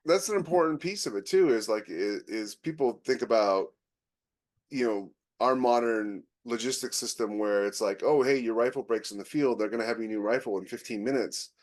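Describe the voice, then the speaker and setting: A man's voice: medium pitch, moderate pace. A man co-hosting a podcast in loose, casual conversation. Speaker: podcast co-host.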